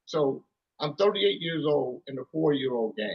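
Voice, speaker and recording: Husky guy rambling thru music stories, kinda high voice, muffled lo-fi sound. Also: animated, normal speed. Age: 30s. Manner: rambling, lots of restarts and "you know". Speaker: musician guest. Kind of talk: casual storytelling interview.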